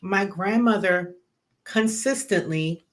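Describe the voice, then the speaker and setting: A woman with a Trinidadian accent, a guest calmly telling personal stories, with plenty of "you know" and "um" along the way. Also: mid-range voice. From Trinidad and Tobago. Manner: unhurried, with many ums and "you know" fillers. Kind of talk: casual personal storytelling.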